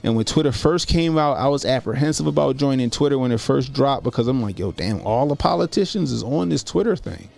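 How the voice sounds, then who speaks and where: Gravelly forty-year-old man streaming. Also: medium pitch, lively intonation, a little fast, few pauses, darkish tone. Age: 40s. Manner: loose, slangy, repeats himself. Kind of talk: casual political commentary stream.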